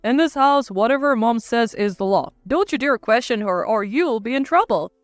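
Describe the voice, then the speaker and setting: A woman's voice: on the high side, lively intonation, normal speed, dry recording, soft background music, lightly acted. A woman voicing a cold, dismissive husband who speaks with curt annoyance.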